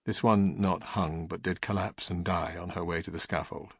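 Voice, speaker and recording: A man with a deep voice narrates an audiobook gravely and formally. His tone is husky and the delivery deliberate, in a dry, close recording. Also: fairly even intonation, no echo.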